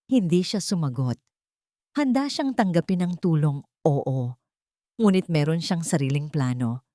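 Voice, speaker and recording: A woman narrating a scripted romance story in a steady, measured reading style. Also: low-pitched, no echo, studio quality.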